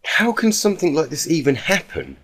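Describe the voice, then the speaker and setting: A man's voice: on the high side, huge pitch swings, fairly quick, a bit bright, husky, voice pushed up, exaggerated voice. A man voicing an anxious, bewildered young female cartoon unicorn who speaks hesitantly. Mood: bewildered, upset. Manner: hesitant, repeating words in distress.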